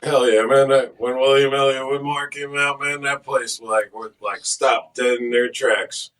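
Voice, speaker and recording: A man's voice: medium pitch, steady tone, a little slow, fairly bright tone, distant mic. A middle-aged American man in a band, chatting casually and swearing easily as he tells enthusiastic stories. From United States. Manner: laid-back, swears casually, enthusiastic storyteller. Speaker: band member. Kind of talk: casual chat. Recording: voice picked up from across a room.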